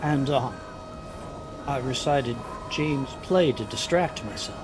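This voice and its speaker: An actor playing an anxious, guilt-ridden young son, narrating a story in the first person with literary intensity. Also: male voice, mid-range voice, slow pace, music in the background, close to an everyday voice. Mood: weary.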